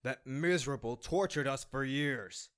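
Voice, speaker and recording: A man's voice: medium pitch, measured pace, fairly bright tone, clean tone, lightly acted. A man voicing an embittered, frightened farm-worker thug in a comic dub, with a grim, aggrieved delivery. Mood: bitter, aggrieved.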